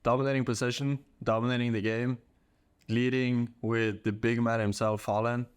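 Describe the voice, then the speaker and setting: A man's voice: medium pitch, flat delivery, normal speed, clean tone, dry recording. A young man from Norway, chatting casually and informally as an interview guest. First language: Norwegian. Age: young adult. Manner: informal, slangy. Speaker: podcast guest, college soccer player.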